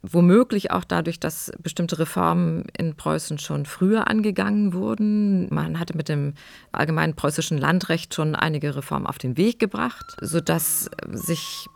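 A woman giving explanatory commentary as a history expert, in measured academic phrasing. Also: low voice, vocal fry, no room sound, studio quality.

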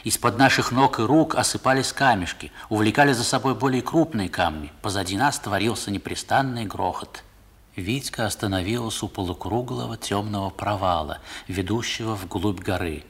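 Wistful literary narrator, lowish husky voice, expressive measured storytelling, vintage radio recording. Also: male voice. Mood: wonder. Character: wistful male narrator recalling boyhood. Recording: old 1960s radio recording.

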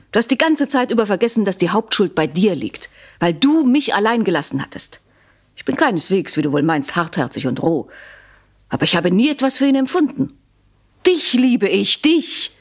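Radio drama wife, standard German, mid-range voice, proud and calculating, insistent and articulate. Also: female voice, mid-range voice. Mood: insistent, pleading. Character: proud, calculating unfaithful wife, cool and passionate.

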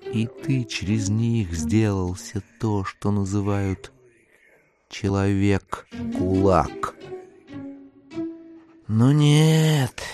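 An actor playing the musing, mocking inner voice of a wry gentleman in an audio drama. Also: low-pitched, exaggerated voice. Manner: musing, sardonic. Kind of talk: theatrical interior monologue in audio drama.

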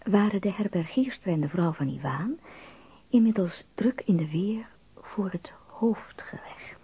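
A woman's voice: medium pitch, expressive, measured pace, husky, dry recording. A woman narrating a radio drama as a scripted storyteller. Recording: tinny, faintly noisy narrow-band recording.

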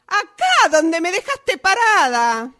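A woman's voice: very high-pitched, very animated, slow pace, pushed and bright, heavily acted. A woman with a Rioplatense Argentine accent acting in an amateur radio play as an exasperated, bossy friend on a hike, impatient and nagging.

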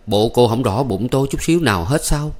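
A man's voice: mid-range voice, moderate pace, pitched above the performer's own voice, lightly acted. A man voicing a timid, lovestruck farmhand in an audiobook, hesitant and plaintive, with a southern Vietnamese accent. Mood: pleading.

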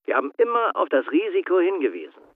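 Defensive ex escape helper on a tinny phone, cagey n curt, lively pitch, radio drama. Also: male voice, fast. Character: guarded, defensive male former escape helper. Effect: telephone filter. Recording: thin, tinny phone line.

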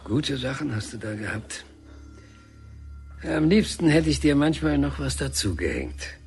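A fatherly older painter in a radio drama. His voice sits in a middle register, unhurried and soothing, with plain colloquial speech meant to calm a child. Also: mid-range voice, vocal fry, soft background music. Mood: gently knowing. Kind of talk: German radio drama acting.

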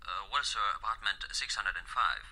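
An actor playing a formal, polite and somewhat hesitant hotel receptionist in a radio crime drama. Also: male voice, on the low side, moderate pace, crowd noise, close to an everyday voice, poor audio.

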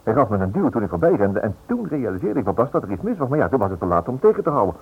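Stubborn husband in a muffled radio play. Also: male voice, lowish voice, big pitch swings, rapid-fire, breathy edge, heavily acted. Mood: grim, concerned. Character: stubborn, protective husband and father, a writer. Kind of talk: radio drama acting.

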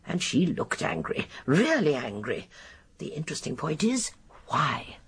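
Very husky, shrewd elderly British lady sleuth. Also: low voice, sing-song, measured pace, heavily acted. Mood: musing, intent. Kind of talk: radio drama acting.

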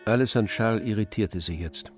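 Measured male narrator with faint music. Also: fairly low pitch. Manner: measured storytelling. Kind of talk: scripted literary narration for a radio play.

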